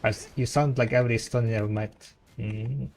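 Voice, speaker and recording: A man whose first language is Russian, with a light Russian accent, chatting with viewers as a game streamer and trailing off in halting restarts. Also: medium pitch, fairly even intonation, gravelly, darkish tone. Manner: rambling, halting, many restarts. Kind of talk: casual chat with viewers.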